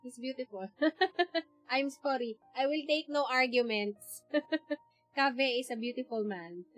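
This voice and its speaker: A woman's voice: high voice, slow, faint music behind. A woman with a Filipino accent giving casual live game commentary as a streamer, switching between languages.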